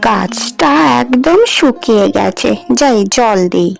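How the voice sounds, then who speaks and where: A woman's voice: on the high side, animated, slow pace, gravelly, soft background music, exaggerated voice. A woman voicing a poor, dutiful young village maid in a cartoon fairy tale, earnest and pleading. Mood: concerned.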